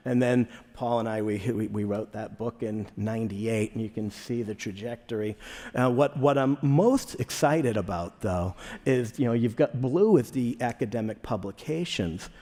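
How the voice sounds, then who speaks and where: A man's voice: mid-range voice, mellow. A middle-aged man with a Boston accent giving a conversational conference talk, often repeating words as he restarts a phrase. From Boston area, Massachusetts. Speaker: conference speaker. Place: large conference hall. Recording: echoey hall reverb.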